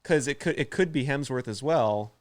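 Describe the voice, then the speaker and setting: A man in casual conversation as a podcast co-host, excitable and given to thinking out loud. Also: mid-range voice, normal speed. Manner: excitable, exclamatory, thinks aloud. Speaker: podcast co-host playing a quiz. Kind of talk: casual chat.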